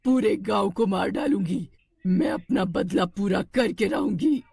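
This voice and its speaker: A woman voicing a vengeful bald witch in a cartoon horror story, shouting drawn-out menacing threats. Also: mid-range voice, animated, gravelly, husky, dry recording, clean studio sound, full character voice. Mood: menacing rage.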